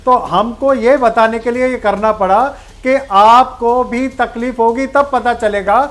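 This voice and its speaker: A man's voice: very high voice, steady tone, measured pace, fairly bright tone. Male political spokesperson for a TV debate, firm, emphatic, explains his case point by point. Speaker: party spokesperson.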